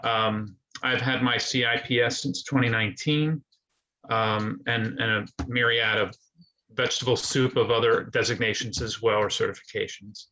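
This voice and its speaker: A male realtor introduces himself on a video call. His voice is medium in pitch with a bright, crisp-edged, forceful tone. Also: fairly even intonation, normal speed, low-quality recording. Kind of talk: self-introduction in a meeting.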